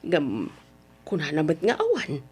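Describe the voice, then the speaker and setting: A woman with an Ilocano Filipino accent acting a gentle, concerned middle-aged wife and mother in an old-time radio drama, soothing in manner. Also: sits low, wildly swooping pitch, a little slow, slightly rough, exaggerated voice.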